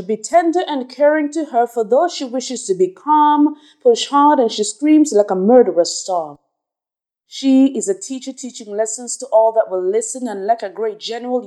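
A woman recites spoken word poetry in a fairly high but very mellow, dark-toned voice. Her delivery is dramatic and emphatic.

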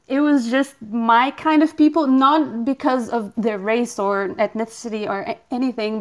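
A young woman in her twenties with a slightly high voice, from Portugal and a native Portuguese speaker, chatting casually as a podcast guest. She feels her way through each answer with frequent "um"s and pauses and laughs easily along the way. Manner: hesitant, many "um" fillers, laughs easily. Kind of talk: casual interview chat.

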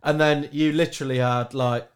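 A man's voice: a little high, steady tone, normal speed, clear, resonant tone. A man with a slight lisp in casual, rambling, colloquial banter as a sports podcast panellist.

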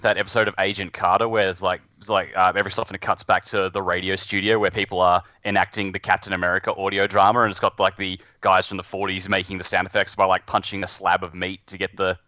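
A man talking casually as a podcast guest, in a halting, informal way about his amateur acting. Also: sits low, level tone, pushed and bright, no echo. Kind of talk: casual chat.

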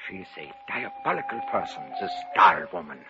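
An actor playing a grave, commanding elderly occult expert in an old radio horror play, speaking in a solemn, dramatic manner. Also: male voice, deep voice, big pitch swings, moderate pace. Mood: grave explaining. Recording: dull vintage radio broadcast, music behind.

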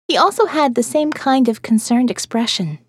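Wry woman narrator, higher-pitched and dry. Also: lively intonation, moderate pace, breathy edge, no room sound. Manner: wry, sardonic. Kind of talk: first-person audio fiction narration.

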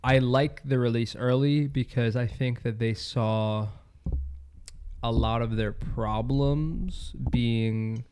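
A man's voice: mid-range voice, steady tone, takes it slowly, darkish tone, slight background noise. Nasal man getting over a cold. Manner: rambling, lots of "like". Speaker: podcast co-host, esports player. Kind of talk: casual chat.

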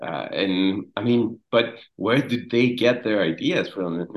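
Halting, low-voiced man from Mexico. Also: lo-fi sound. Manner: halting, repeats words, trails off quietly. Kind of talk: casual conversation.

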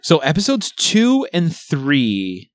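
A young man talking loosely on a podcast, rambling and swearing with easy laughter. His voice moves a lot in pitch, and the sound is dry and close with no room around it. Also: wildly swooping pitch, slow pace, no room sound. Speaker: podcast co-host. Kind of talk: casual chat.

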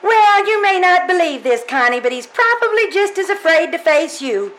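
A woman's voice: extremely high pitch, sing-song, normal speed, fairly bright tone, heavily acted, poor audio. A woman playing a chirpy, motherly, scatterbrained landlady in an old-time radio comedy, fussy and rambling. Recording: faint noise, live stage performance.

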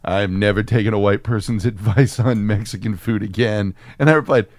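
A man's voice: fairly low pitch, a little fast, no echo, studio quality. An American man co-hosting a podcast, chatting casually with a sarcastic, ranting, sweary manner. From Texas, United States. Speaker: podcast co-host. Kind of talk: casual opinionated chat.

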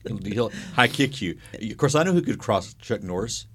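A man's voice: lowish voice, animated, normal speed, a bit bright, slight background noise. A man in his late fifties, a podcast co-host, chatting casually with playful, self-mocking humor. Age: 50s. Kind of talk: casual chat.

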